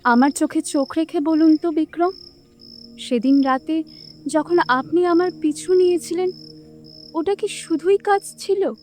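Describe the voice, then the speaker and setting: A woman playing a resolute, caring young princess in an animated fairy tale. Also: very high voice, a little slow, pauses often. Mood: tender, probing.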